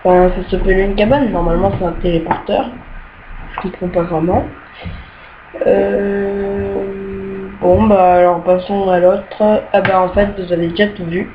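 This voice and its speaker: Young woman, casual game tour, halting and fond of fillers, slow delivery, dull home mic with faint hiss. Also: mid-range voice, fairly even intonation. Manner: hesitant, many "euh" fillers, easily annoyed by bugs. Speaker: gamer showing her rooms.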